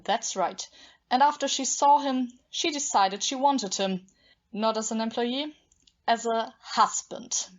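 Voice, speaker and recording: A woman's voice: fairly high pitch, unhurried, natural voice. A woman playing a bitter, obsessive young jilted woman with brittle politeness in an audio play. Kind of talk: amateur audio play acting. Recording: dull, muffled lo-fi.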